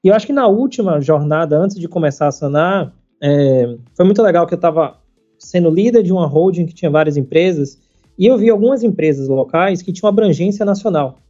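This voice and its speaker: A man, chatting casually as a podcast guest, halting and full of fillers and casual slang. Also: high voice, dark tone. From Salvador, Brazil. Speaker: startup founder, podcast guest. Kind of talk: casual conversational interview. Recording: slightly muffled, faint background music.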